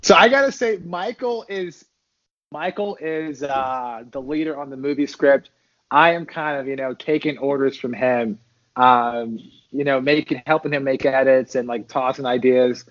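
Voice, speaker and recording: A man being interviewed as a podcast guest, chatting casually in a loose, bantering, halting way. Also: mid-range voice, a bit bright, highs cut off.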